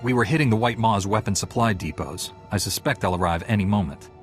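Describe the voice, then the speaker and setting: An actor playing a calm, confident young Jedi knight in a video game, direct and courteous. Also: male voice, on the low side, quick pace, close to an everyday voice. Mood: assured. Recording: music behind the voice.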